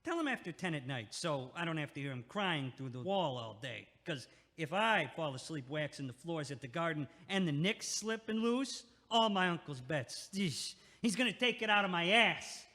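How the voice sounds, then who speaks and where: Man playing a loud, high-voiced pushy New York neighbor. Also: expressive, normal speed, few pauses, full character voice. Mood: annoyed. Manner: blunt, sarcastic, street-talking. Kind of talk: audio-play acting. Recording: echoey, long hallway-like reverb.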